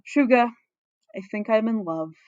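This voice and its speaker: A woman's voice: a little high, unhurried, darkish tone, dry recording, muffled recording, lightly acted. A woman voicing a lovesick, guarded boy crown prince in a story, gloomy and hesitant.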